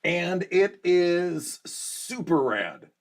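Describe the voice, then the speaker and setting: A man's voice: sits high, sing-song, very slow. A man hosting a casual livestream, joking along and reading out viewers' chat messages. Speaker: livestream host.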